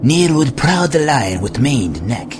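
A man's voice: medium pitch, big pitch swings, takes it slowly, background noise. A man playing a remorseful executioner and loyal slave in an audio drama, declaiming verse fervently. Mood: pleading, urgent.

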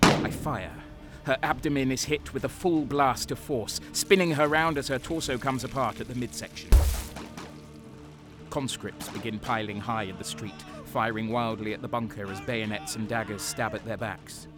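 Cold, sadistic soldier-narrator for a dark war audio drama male actor, mid-range, deliberate and dramatic, music bed. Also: mid-range voice, fairly even intonation, close to an everyday voice. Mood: grim, detached. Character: cold-hearted, sadistic young male soldier turned traitor. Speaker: narrator and lead character.

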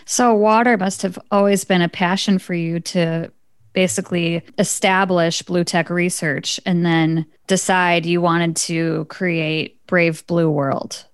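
Female interview host, conversational, smooth clear tone, dry recording. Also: fairly low pitch, steady tone, slow pace, no room sound.

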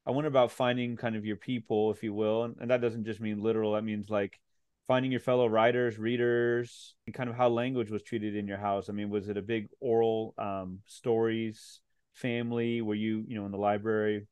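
A man's voice: fairly low pitch, flat delivery, brisk, a little mellow, clear, resonant tone, dry recording, clean studio sound. A man hosting a podcast, asking rambling, searching questions full of fillers. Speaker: podcast host. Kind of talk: casual interview conversation.

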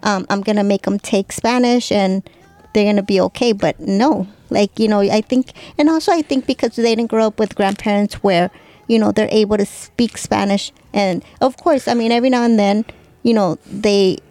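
A woman's voice: medium pitch, normal speed, warm tone, dry recording. A woman in her forties co-hosting a podcast, talking hesitantly with lots of fillers and easy laughs. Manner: hesitant, full of "like" and "you know", laughs easily. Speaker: podcast co-host. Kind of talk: casual chat.

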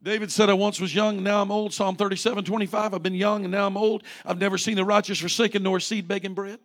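A man's voice: high voice, level tone, quick pace, fairly bright tone. A man in his late forties, a pastor preaching a fervent sermon with rising repeated refrains. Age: 40s.

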